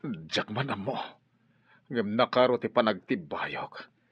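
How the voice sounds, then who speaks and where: A man's voice: lowish voice, slow, breathy edge, dry recording, full character voice. A man playing an anxious, well-meaning, troubled man in a radio drama. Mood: worried. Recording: dull, dry studio sound.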